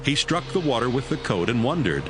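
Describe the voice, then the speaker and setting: A man's voice: medium pitch, very animated, a little fast, music in the background. A man giving scripted narration for a dramatized audio Bible.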